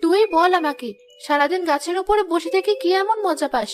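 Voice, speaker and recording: A woman plays an anxious village mother in a cartoon fairy tale, nagging and exasperated in a very high voice. Music plays behind her as she speaks. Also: brisk. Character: anxious scolding village mother of a teenage girl.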